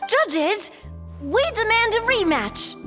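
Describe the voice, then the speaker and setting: A woman's voice: extremely high pitch, lively intonation, slow, full character voice. A woman playing a proud, exacting head chef in a video game, formal and precise in manner. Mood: shocked protest. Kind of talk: video game character acting. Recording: reverberant hall, music underneath.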